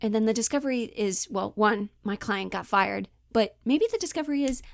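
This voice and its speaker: A woman giving a casual, chatty acting lesson, slangy and animated, slipping in and out of character as she explains. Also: on the high side, brisk, vocal fry, no echo, studio quality. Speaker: acting teacher.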